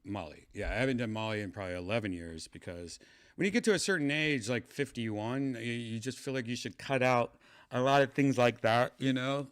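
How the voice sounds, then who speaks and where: A man's voice: medium pitch, smooth. A man in his fifties chatting casually to his livestream viewers, rambling with lots of fillers and restarts. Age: 50s. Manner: meandering, trails off, many fillers and restarts. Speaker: livestream host.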